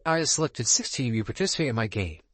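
A man's voice: medium pitch, big pitch swings, very fast, a bit bright, slightly rough, soft background music. Man putting on a stately god game master, sweeping intonation. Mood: solemn, commanding. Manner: formal, stately announcing. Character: male god of time and space, solemn game master. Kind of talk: reading visual novel dialogue aloud.

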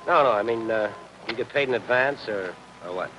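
An actor playing an inquisitive, dryly persistent middle-aged newspaper reporter in a 1950s television crime drama. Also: male voice, lowish voice, brisk, fairly bright tone, dull audio, lightly acted. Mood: curious, insistent. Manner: questioning, dry, probing. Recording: noisy old 1950s film soundtrack.

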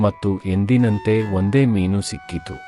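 A man narrating a cartoon moral story in an even, matter-of-fact way. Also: fairly low pitch, normal speed, music in the background.

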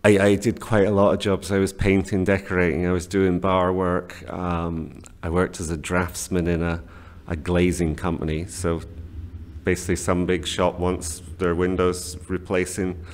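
A man's voice: low voice, level tone, normal speed. A man in his forties from Scotland, raised in northern England, answering interview questions with many fillers and restarts. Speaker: guest, teacher trainer. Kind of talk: casual interview. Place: small classroom office. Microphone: studio mic on desk stand.

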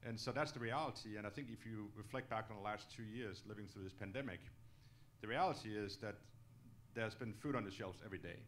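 A man's voice: on the low side, a little fast, a bit bright, slight background noise. A middle-aged man from Denmark, a native Danish speaker, giving earnest, emphatic answers in an on-stage interview, often checking in with "okay?". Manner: emphatic, ends points with "okay?". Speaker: company executive, guest speaker. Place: auditorium stage, indoors. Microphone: handheld microphone.

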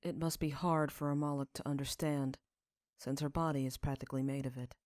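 A woman's voice: deep voice, steady tone, a little fast, darkish tone, no room sound, studio quality. A woman reading fiction aloud as a narrator, in audiobook style.

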